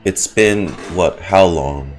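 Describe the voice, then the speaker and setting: A man giving casual live commentary on a game as a streamer, halting and wry. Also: sits low, music in the background. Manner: halting, wry, self-joking.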